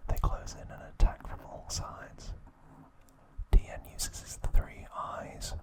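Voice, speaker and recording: A man narrating ASMR trivia in a soft, breathy whisper, phrasing everything deliberately. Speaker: ASMR host.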